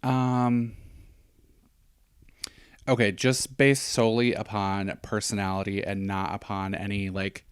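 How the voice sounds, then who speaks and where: Chatty low-voiced man with a slight lisp. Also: flat delivery, takes it slowly, long pauses, clean tone, no room sound, clean studio sound. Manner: chatty, rambling with many fillers. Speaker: podcast co-host; professional hair stylist. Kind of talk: casual chat.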